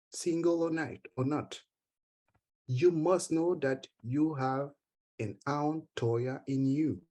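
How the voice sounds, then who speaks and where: A man from Haiti with a Haitian accent, giving an earnest conference talk and taking questions, halting at times with repeated words. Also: mid-range voice, mellow. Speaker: conference speaker. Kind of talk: conference speech then Q&A.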